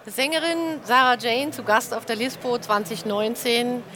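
A woman's voice: on the high side, a little slow, a bit bright. A woman interviewer asking a guest conversational questions at a fair. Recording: busy crowd behind.

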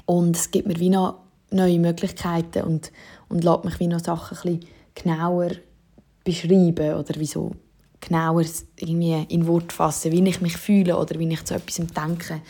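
Mellow husky Swiss German woman. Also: on the low side, flat delivery, normal speed. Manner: casual, many fillers and "wie". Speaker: singer-songwriter. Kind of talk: interview answers.

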